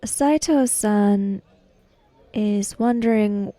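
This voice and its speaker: Courteous samurai go-between for a game, female performer: careful, measured, deferential phrasing, very dry sound. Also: medium pitch, dark tone, no room sound. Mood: polite, careful. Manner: formal, careful, halting. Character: polite, deferential samurai interpreter speaking for a master.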